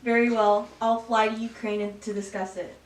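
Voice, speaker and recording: A woman's voice: on the high side, level tone, moderate pace, natural voice. A woman playing a self-serving, pushy male president in an amateur satire. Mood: indignant. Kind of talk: amateur student satire acting. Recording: roomy, distant mic, background noise.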